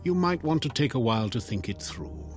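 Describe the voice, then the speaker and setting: A man's voice: mid-range voice, big pitch swings, a little fast, faint music behind, close to an everyday voice. An actor playing a sly, devilish door-to-door salesman in a radio drama, with glib, coaxing, theatrical sales patter. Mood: coaxing, deal-making. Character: sly devilish door-to-door salesman peddling a time-bending clock. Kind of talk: modern radio drama acting.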